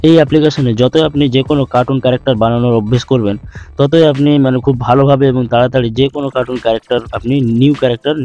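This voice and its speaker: Tutorial host, male. Rapid instructional delivery, dull lo-fi recording over music. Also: medium pitch, a little mellow. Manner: instructional, repetitive explaining. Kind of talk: step-by-step screen tutorial voice-over. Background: background music.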